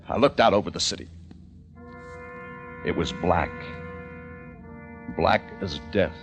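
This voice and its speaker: An actor playing a haunted, educated young American survivor, narrating intensely in the theatrical style of old-time radio drama. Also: male voice, deep voice, full character voice. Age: young adult. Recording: vintage radio recording with music behind.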